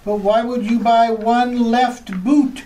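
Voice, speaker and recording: High, hesitant, baffled bookkeeper played by a woman. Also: level tone, slow, warm tone, slight background noise. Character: hesitant, bewildered official questioning expense items. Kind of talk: old-time radio comedy acting.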